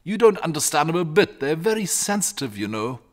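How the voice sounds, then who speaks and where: A man voicing a calm, bookish young boy in a storybook, matter-of-fact, patient and slightly superior. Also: on the high side, fairly quick, pitched above the performer's own voice. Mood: calm, assured. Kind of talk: storybook character reading.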